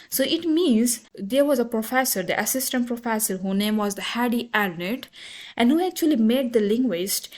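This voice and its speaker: A woman from Pakistan with a Pakistani accent and Pashto as her first language, giving a slide lecture in a halting, repetitive way with many self-corrections. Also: on the high side.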